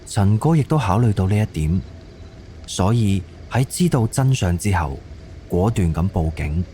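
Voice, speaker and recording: A man's voice: fairly low pitch, lively intonation, a little slow, dark tone, slight background noise. A man with a Cantonese accent narrating a scripted story for an audio drama.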